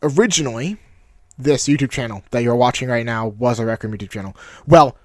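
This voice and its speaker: A young man with a deep, steady voice, looking back on a game in a casual vlog. He moves between nostalgia and self-mocking humor. The recording is close and dry, with no room sound. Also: a little fast, dry recording. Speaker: YouTube creator. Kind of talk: reflective personal vlog narration.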